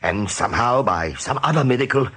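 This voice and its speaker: An actor playing a steady, kindly rabbit major in a radio play, measured and resolute. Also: male voice, medium pitch, breathy edge. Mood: solemn, reassuring.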